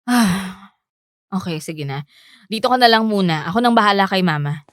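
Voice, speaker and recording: A woman's voice: mid-range voice, animated, normal speed, a bit bright, dry recording, natural voice. A woman acting a hardworking, weary student daughter in a Filipino radio drama, earnest and emotional, pleading with a Filipino accent. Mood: worried, weary. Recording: music bed under the voice.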